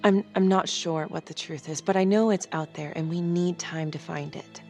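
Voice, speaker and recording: An actress playing a guarded, determined prosecutor in a crime thriller game, speaking in a dry, measured way. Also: female voice, on the low side, fairly even intonation, darkish tone, close to an everyday voice. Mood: earnest, careful. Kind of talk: naturalistic dramatic game acting. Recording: background music under the voice.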